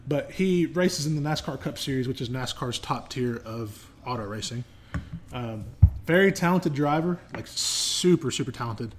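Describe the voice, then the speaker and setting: Casual, opinionated American man, mid-pitched. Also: animated, normal speed, a little hiss or hum. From United States. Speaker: podcast co-host. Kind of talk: casual conversational discussion.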